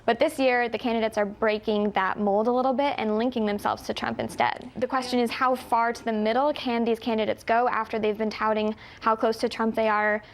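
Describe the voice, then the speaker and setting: A woman reporter giving live news analysis in an explanatory, measured way with long, detailed sentences. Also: fairly high pitch, level tone. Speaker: political reporter interviewed as a news commentator.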